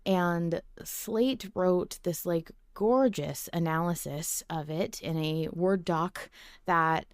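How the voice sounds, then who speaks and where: Brief: laid-back woman host, a bit low, dry close mic, joking and casual. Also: takes it slowly, clear, resonant tone, dry recording. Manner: playful, casual, swears lightly. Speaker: podcast host. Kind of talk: casual podcast chat.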